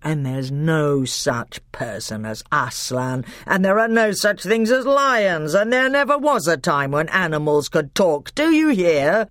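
A cold, stern king lays down the law in a low, deliberate voice, as a woman reading aloud puts him on. The sound is close and dry. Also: sing-song, no echo, exaggerated voice. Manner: stern, slow and commanding. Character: stern, cold middle-aged male king.

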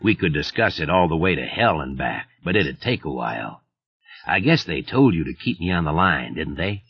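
A man voicing a menacing, mocking man who talks in a slow, low, easy drawl. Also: sing-song, husky, heavily acted. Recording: muffled lo-fi audio.

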